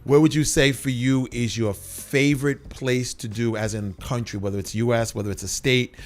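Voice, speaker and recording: Man, radio host, middle pitch, encouraging, lots of short affirmations, studio interview. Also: steady tone, normal speed.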